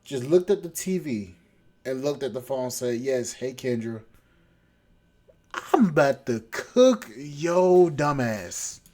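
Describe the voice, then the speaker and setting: A man's voice: medium pitch, expressive, slow. A man hosting a podcast, reacting to a dating show clip with crude, mocking commentary. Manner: mocking, crude, loud laughing outbursts.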